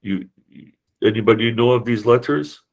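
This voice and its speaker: A man's voice: mid-range voice, normal speed, creaky, low-quality recording. A man lecturing a university class, explaining with rhetorical questions and frequent hesitant fillers.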